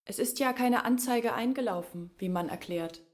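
A woman's voice: a little high, moderate pace, lightly acted. An actress playing a matter-of-fact village woman who adds dry explanations in a literary radio drama.

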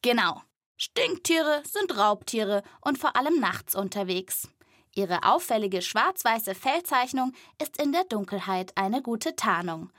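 Children's audio host, female, slightly high pitch, dry studio sound, lively scripted animal reporting. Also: a little slow, dry recording.

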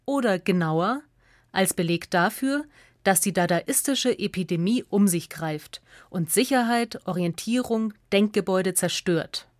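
A woman's voice: medium pitch, animated, a little slow, no room sound. Woman reading a radio essay, dry studio. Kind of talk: scripted essay narration.

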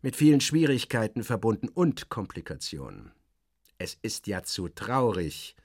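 A man's voice: lowish voice, very animated, a little slow, a little mellow, breathy edge, dry recording, clean studio sound, full character voice. A man reading an audiobook and voicing a busy office chief who speaks in an emphatic, diplomatic and at times hesitant manner. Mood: hesitant, regretful.

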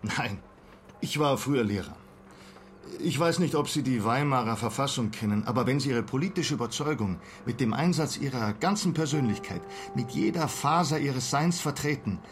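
A man acts out a mild former schoolteacher reduced to selling, in a radio play. He talks articulately, with wry bitterness, at an ordinary middle pitch over faint ambience. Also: lively intonation, moderate pace, a little hiss or hum, natural voice. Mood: bitter, resigned. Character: mild former teacher, now a disillusioned toothpaste salesman. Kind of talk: German radio play dialogue.

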